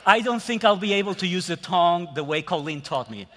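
A man with a Puerto Rican Spanish accent, a guest preacher delivering a sermon in measured phrases with pauses that trail off softly. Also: sits high, animated, background noise. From Puerto Rico. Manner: slow, measured preaching with dramatic pauses and soft trailing endings. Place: church sanctuary. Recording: long church reverb.

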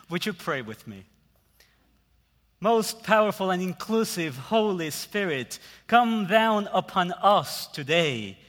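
A man with a Puerto Rican Spanish accent, a guest preacher delivering a sermon in measured phrases with pauses that trail off softly. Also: on the high side. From Puerto Rico. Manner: slow, measured preaching with dramatic pauses and soft trailing endings. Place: church sanctuary. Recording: long church reverb.

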